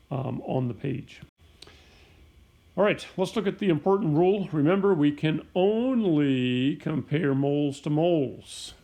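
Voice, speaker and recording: A middle-aged man teaches a recorded chemistry lesson while sick, his voice hoarse and raspy with a gravelly fry. His tone is dark and mellow, and he explains each step plainly, often clearing his throat. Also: mid-range voice.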